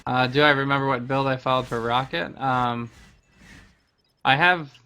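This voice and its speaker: A man's voice: medium pitch, moderate pace, bright, forceful tone, clean tone. A man giving casual gameplay commentary as a streamer, explaining things in a conversational way. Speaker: game streamer.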